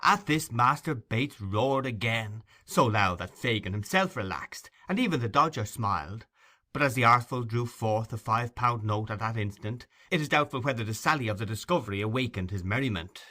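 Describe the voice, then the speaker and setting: A man's voice: medium pitch, expressive, normal speed, bright, forceful tone. A man narrating classic fiction from a script as an audiobook reader.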